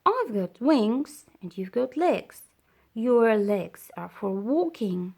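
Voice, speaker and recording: A woman's voice: a little high, sing-song, takes it slowly, vocal fry, darkish tone, heavily acted. A woman voicing a proud, matter-of-fact bumblebee in a children's storybook, blunt and emphatic.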